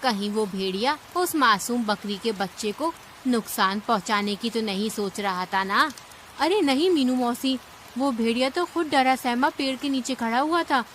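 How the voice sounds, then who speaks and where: A woman voicing a caring, righteous female bird in an animated fable, speaking in an earnest, concerned way. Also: fairly high pitch, normal speed, a little hiss or hum.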